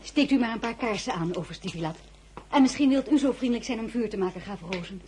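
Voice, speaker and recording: A woman's voice: on the high side, expressive, fast talker, a little mellow, slight background noise, lightly acted. An actress playing an anxious, tender royal lady, a general's wife, in a Dutch audio drama. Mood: surprised, brisk hostess.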